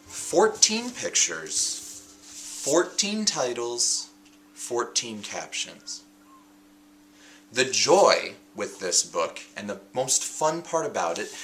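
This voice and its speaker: A man hosts a storybook read-aloud in a lowish, very animated voice. He phrases each line dramatically and deliberately, leaving emphatic pauses. Faint music plays behind him. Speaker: host reading a picture book aloud and setting a writing challenge.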